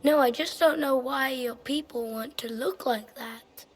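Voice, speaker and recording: A performer playing a curious, polite, slightly eerie little boy in a video game, with a breathy child's voice. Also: high-pitched, a little slow. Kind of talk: dramatic video game acting.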